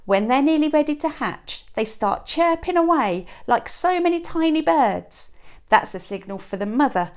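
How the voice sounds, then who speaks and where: A woman in her thirties reading a children's picture book aloud like a teacher, with expressive emphasis and dramatic pauses. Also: high voice. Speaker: teacher reading to a young class. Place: small classroom, indoors. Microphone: clip-on lapel mic.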